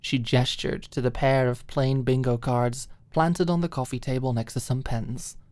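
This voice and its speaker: Low-voiced woman narrating an audiobook. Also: steady tone, normal speed, a little mellow, no room sound. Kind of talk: expressive scripted story narration, ASMR audiobook.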